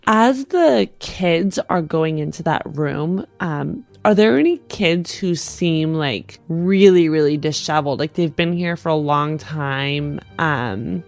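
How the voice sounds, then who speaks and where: A woman's voice: low-pitched, lively intonation, takes it slowly, creaky, hardly any pauses, dry recording, faint music behind. A woman playing a tabletop role-playing game, chatting casually and thinking aloud with some hesitation. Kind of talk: casual improvised game chat.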